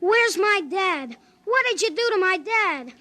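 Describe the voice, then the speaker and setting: Very high, bright-voiced frightened boy. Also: animated, unhurried, full character voice. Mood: defiant, furious. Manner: urgent, blurting, emotional. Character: frightened, defiant young boy, loyal to his dad. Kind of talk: 1950s radio drama acting. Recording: noisy old radio drama recording.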